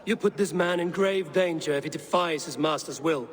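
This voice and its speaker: A man's voice: fairly high pitch, normal speed, a little hiss or hum, natural voice. A man playing a blunt Viking raider. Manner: blunt, firm.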